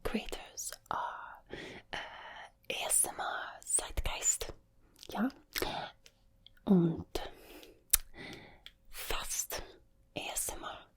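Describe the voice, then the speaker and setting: A woman's voice: fairly low pitch, wildly swooping pitch, voice pushed down, heavily acted. Woman putting on a whispering, staccato German ASMR woman. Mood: clipped, precise. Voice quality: breathy whisper. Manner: clipped, staccato, deliberate.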